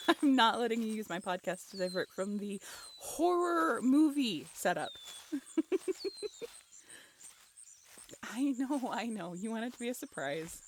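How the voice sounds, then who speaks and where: A high, very lively young female voice from an actress plays a teasing, affectionate girlfriend in a romantic audio roleplay. She banters in an unhurried, conversational drawl that swings freely up and down. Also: a little hiss or hum. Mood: amused, teasing. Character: playful, teasing writer girlfriend of a werewolf, affectionate. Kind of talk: romantic comfort roleplay audio, conversational one-sided dialogue.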